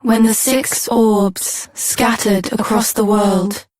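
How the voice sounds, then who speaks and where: A solemn maiden guardian of a fantasy game. She speaks in a medium-pitched voice, extremely slowly, as if in ceremony. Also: fairly even intonation, a little mellow. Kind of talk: video game voice acting.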